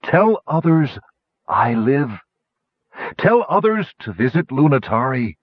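A gentle, exhausted dragon from a fantasy storybook, voiced by a man in halting, drawn-out phrases. The voice is husky and lifted in pitch, resigned as it fades, on a dry, slightly dull recording. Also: sing-song, no room sound, heavily acted. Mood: failing farewell.

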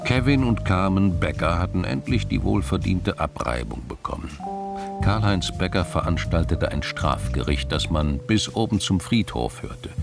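A man narrating a scripted crime audio drama in a measured, literary storytelling manner. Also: deep voice, creaky. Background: music.

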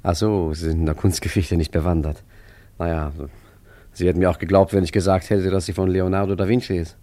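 A low-pitched actor playing a male street painter who fancies himself a philosopher and a master forger. He talks with chatty irony and a touch of mock grandeur, in the manner of a radio play. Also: little pitch movement, fairly quick, warm tone, no echo, close to an everyday voice. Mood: sly, persuasive. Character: wry philosophical male street painter and self-proclaimed great art forger. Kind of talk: German radio play acting and narration.

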